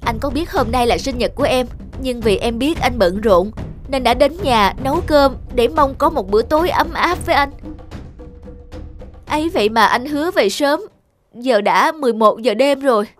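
A woman's voice: high voice, moderate pace, soft background music, close to an everyday voice. A woman voicing a sensitive young woman in love in a cartoon, with heartfelt, emotional delivery. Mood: hurt, reproachful. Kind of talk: cartoon dubbing.

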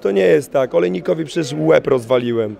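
A man's voice: on the high side, lively intonation, brisk, creaky, warm tone. A man in his thirties speaking casually in a post-fight interview at an event press wall, swearing and apologetic, into a handheld mic.

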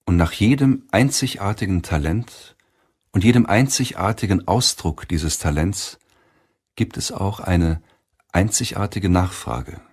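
Male audiobook narrator: low, husky, solemn and measured delivery.

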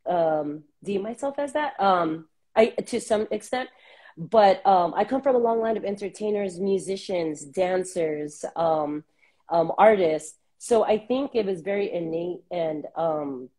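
A woman in her thirties from Southern California, a guest talking reflectively over a video call on earbuds, with many pauses and fillers. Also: lowish voice, lively intonation. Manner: warm, reflective, many ums. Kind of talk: casual interview on a video call. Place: small room indoors.

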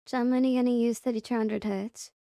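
A woman with a high, mellow voice narrates a casual tutorial. She goes through it step by step without hurry, sometimes thinking aloud. The sound is close and dry. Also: steady tone, fast talker, dry recording. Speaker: tutorial presenter.

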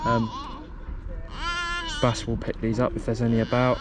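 A man's voice: mid-range voice, normal speed. A man with an English accent on a seawall, casually talking through each step of his fishing setup. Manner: relaxed, talks through each step as he works. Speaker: angler vlogger. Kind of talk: casual how-to narration. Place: outdoor concrete seawall by the sea. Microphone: head-mounted action camera. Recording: outdoor wind and sea noise.

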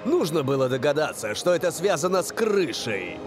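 A stern cartoon soccer coach. His slightly high voice is authoritative and strongly inflected, over background music. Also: sing-song, normal speed. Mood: serious. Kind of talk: animated cartoon acting.

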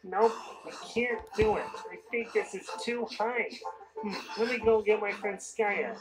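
An actor voicing a small, friendly, eager truck creature in a children's cartoon, folksy and expressive. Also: medium pitch, a little slow, natural voice, low-quality recording. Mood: puzzled, straining. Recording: music behind the voice.

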